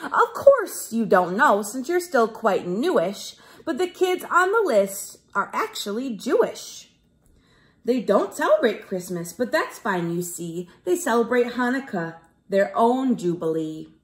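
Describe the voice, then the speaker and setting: A woman voicing a calm, patient head elf from a children's storybook, explaining things soothingly. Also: fairly high pitch, unhurried, reverberant. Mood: patient, reassuring.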